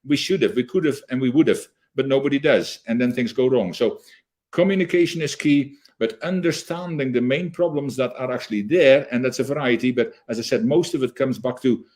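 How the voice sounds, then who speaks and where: A man's voice: mid-range voice, fairly quick, a little mellow. A man being interviewed as a leadership trainer, explaining in an emphatic, conversational way with quoted examples.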